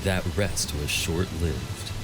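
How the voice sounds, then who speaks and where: A man's voice: very deep voice, slow, background noise. A man narrating a scripted action story in a dramatic style. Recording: music under the voice.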